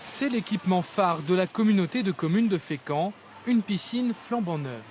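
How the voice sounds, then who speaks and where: A man's voice: high-pitched, lively intonation, moderate pace, a little mellow, a little hiss or hum. A man narrating a scripted television news report as its reporter.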